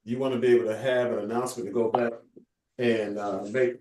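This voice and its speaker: A man's voice: mid-range voice, little pitch movement, fairly quick, darkish tone, a little hiss or hum. A man hosting a casual motivational team call, rambling with many fillers and restarts. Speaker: host of a sales team call.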